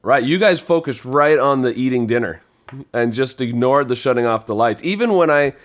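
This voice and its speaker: A man hosting a relaxed, conversational group discussion as a podcast host. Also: medium pitch, moderate pace. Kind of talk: casual group chat.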